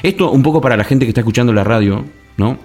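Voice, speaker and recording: A man from Argentina talks on a radio show, spinning stories with lively restarts and fillers. His voice sits in an average range, and faint music plays underneath. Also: medium pitch, rapid-fire. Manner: animated storyteller with many restarts and fillers. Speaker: radio guest. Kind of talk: casual radio conversation.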